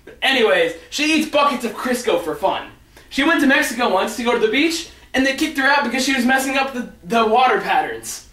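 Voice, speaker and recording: A man telling comic stories in an animated, excitable, rambling way with dramatic build-ups. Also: very high-pitched, a little fast. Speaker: storyteller. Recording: roomy echo, far from the mic.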